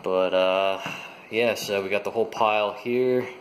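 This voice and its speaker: A young man casually explaining his home renovation work while filming it himself, pausing often with ums and uhs. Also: fairly low pitch, fairly even intonation, a little hiss or hum, rough recording. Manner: hesitant, many ums and uhs. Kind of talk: casual how-to narration. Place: kitchen in a house, indoors. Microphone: handheld camera.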